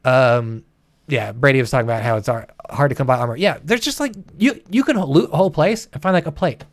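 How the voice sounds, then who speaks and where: A man's voice: high voice, fairly bright tone. A man co-hosting a gaming livestream, chatting casually with an exasperated, sweary, ranting manner.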